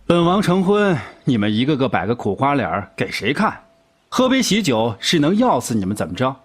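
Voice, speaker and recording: An actor playing a brash, blunt wolf king in a costume audio drama, gruff and impatient. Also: medium pitch, sing-song, a little fast. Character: brash, blunt wolf king, a grown man at his wedding.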